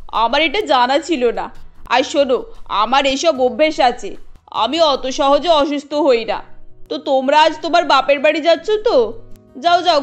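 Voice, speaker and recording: A woman voicing a stingy, bossy elderly mother-in-law in a cartoon, with a dismissive way of talking. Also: high-pitched. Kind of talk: cartoon dialogue acting.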